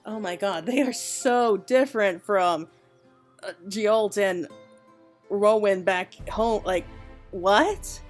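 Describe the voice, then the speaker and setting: A woman's voice: medium pitch, slow pace, faint music behind. A woman giving casual, off-the-cuff commentary as she plays a video game. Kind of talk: casual gameplay commentary and reading dialogue aloud.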